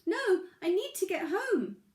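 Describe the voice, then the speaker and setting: A woman's voice: extremely high pitch, big pitch swings, a little slow, darkish tone, echoey room, voice pushed up, heavily acted. A woman voicing a small lost male pebble in a children's story, polite but stubbornly homesick and pleading. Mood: upset, stubborn. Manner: pleading, insistent.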